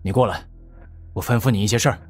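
A man's voice: lowish voice, fast, breathy edge, faint music behind. A man playing a scheming, resentful gang fixer who fawns on his betters in an audiobook drama. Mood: brooding, commanding. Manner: obsequious on the phone, bitter in private.

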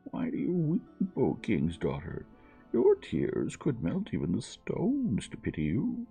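A man voicing a persistent, pleading male frog in a fairy tale, speaking in a slow, imploring way. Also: mid-range voice, wildly swooping pitch, gravelly, warm tone, soft background music, pitched above the performer's own voice, exaggerated voice. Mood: plaintive.

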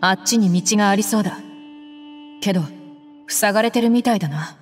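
A woman voicing a tough, proud young female mercenary in a game, speaking bluntly and roughly. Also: mid-range voice, brisk, faint music behind, lightly acted. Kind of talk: Japanese game voice acting.

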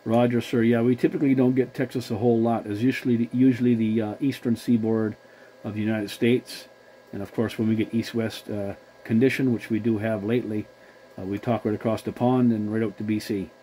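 A man chatting as a CB radio operator, courteous and measured, using formal call-sign procedure. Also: on the low side, level tone, dark tone, slight background noise, low-quality recording. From Newfoundland, Canada.

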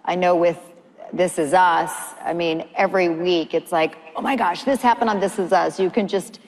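A woman in her forties leads a stage panel with lively, gesturing chatter. Her voice sits a bit low and rings out into a large hall, with a faint hum behind. She speaks like a practiced anchor. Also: normal speed. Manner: animated, gesturing, chatty with fillers. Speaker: panel moderator, news anchor. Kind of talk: conversational panel talk. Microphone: lapel mic. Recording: long hall reverb, faint room noise.

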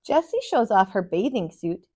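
An American woman in her thirties, a teacher reading a picture book aloud to children in an expressive, sing-song way. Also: medium pitch, normal speed, a little hiss or hum. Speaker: kindergarten teacher, storyteller. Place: small furnished room, indoors.

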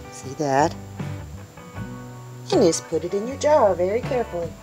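A woman's voice: on the low side, very animated, measured pace, over music, rough recording. A woman making a homestead vlog, chatting casually to her viewers as she shows a cooking step by step. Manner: chatty, upbeat, talks to viewers as folks. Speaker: homestead vlogger. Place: indoors in a small tiny-house kitchen.

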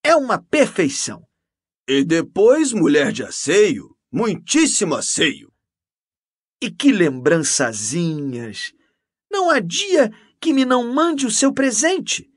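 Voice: medium pitch, sing-song, takes it slowly, a little mellow, breathy edge, dry recording, studio quality, full character voice. A reader voicing a pompous, self-satisfied, gossipy male priest who exclaims and repeats himself unctuously in an audiobook story. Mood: gushing, excited.

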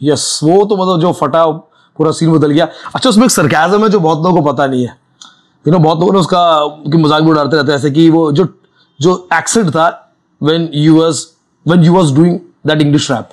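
A young man hosting a casual interview, relaxed, mixing English fillers like "you know" into Hindi. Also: on the high side, moderate pace. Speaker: interviewer host. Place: small studio set with chairs.

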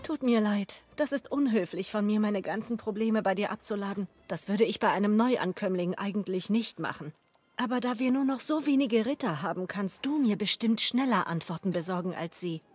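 An actress playing a worried, dutiful regent in a fantasy video game, speaking formally and earnestly. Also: female voice, mid-range voice, animated, normal speed, darkish tone, husky. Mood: troubled, apologetic.

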